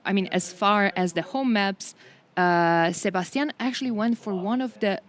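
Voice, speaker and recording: A woman's voice: medium pitch, moderate pace. A woman co-commentating a live esports tournament, speaking English as a non-native language. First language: not English.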